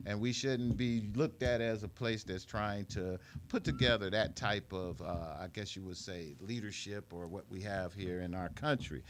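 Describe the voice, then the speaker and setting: A man's voice: fairly low pitch, sharp tone, slight background noise. A man hosting a casual radio talk show in an informal, colloquial and playful way.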